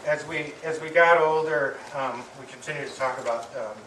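A middle-aged man giving an affectionate eulogy to an audience, speaking haltingly with pauses and repeated words. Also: a little high, fairly bright tone. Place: medium-sized indoor hall with audience. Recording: distant mic, echoey room, clear background noise.

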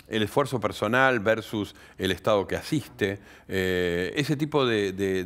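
A man in his sixties with an Argentine accent, explaining things analytically as an interview guest and often adding tag questions. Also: lowish voice. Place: indoor studio set. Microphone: headset.

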